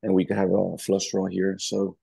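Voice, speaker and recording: A hoarse man who has lost his voice, chiming in hesitantly in a poker study group. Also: deep voice, monotone, normal speed, mellow, dry recording.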